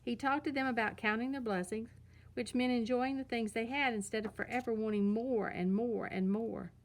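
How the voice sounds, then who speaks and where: A woman reads a children's story aloud as its narrator. Her voice sits a little high for a woman. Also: fairly even intonation, moderate pace, poor audio.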